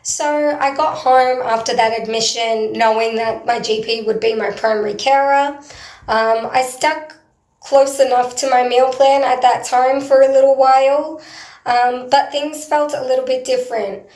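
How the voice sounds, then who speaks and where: A woman with a hoarse, croaky voice, talking earnestly and hesitantly in a personal video diary, with frequent pauses. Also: high-pitched, steady tone, darkish tone. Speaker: vlogger. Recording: roomy echo.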